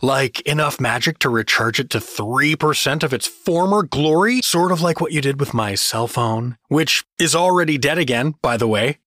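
A man's voice: mid-range voice, normal speed, hardly any pauses, pushed and bright, no room sound, studio quality. An actor playing a hapless, sarcastic everyman hero in a comedic fantasy audio drama, joking and hesitant. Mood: sarcastic, grumbling.

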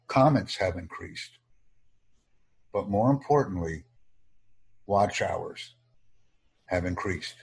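Male English tutor, deep husky voice, formal measured delivery. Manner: slow, formal, measured delivery in short phrases. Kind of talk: online English lesson.

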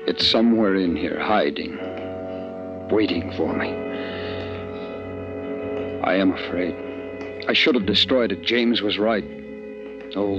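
An actor playing a frightened, remorseful male scientist in a 1950s radio drama. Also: sits low. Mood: afraid. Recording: dull 1950s radio recording with music.

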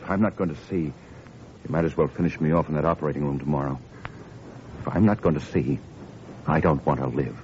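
Despairing patient, extremely low voice, heavy bitter delivery, radio play, clearly noisy dull recording. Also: male voice, brisk, long pauses. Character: grim, despairing male patient losing his sight. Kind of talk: old radio drama acting.

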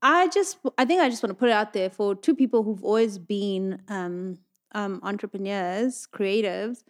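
A woman's voice: mid-range voice, moderate pace. A woman co-hosting a Q&A with her husband, reading out questions and backing him up with agreeable replies. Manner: reads questions, affirming, agreeable. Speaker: podcast co-host, entrepreneur. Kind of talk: casual couple Q&A chat.

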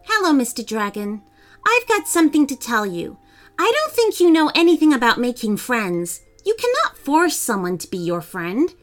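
A woman's voice: high voice, sing-song, normal speed, soft background music, pitched above the performer's own voice. A woman voicing a brave, kind, earnest young twin girl in a children's storybook. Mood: bold, scolding.

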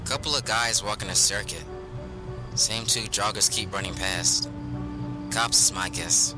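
A man's voice: a little slow, over loud music, close to an everyday voice. A man voicing a young male sidekick reporting over a radio, terse and wary. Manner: terse, low-key reporting.

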